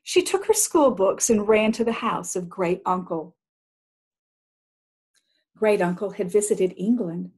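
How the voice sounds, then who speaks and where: A woman reading a children's picture book aloud as an expressive storyteller. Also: medium pitch, measured pace, pauses often, breathy edge.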